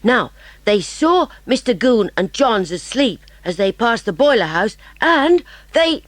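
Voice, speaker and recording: A woman playing a clever, inquisitive British schoolboy detective, brisk and emphatic, in a dramatised audiobook. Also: a little high, very animated, no room sound. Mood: excited, confident. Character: clever, keen, bossy schoolboy detective, eager and polite.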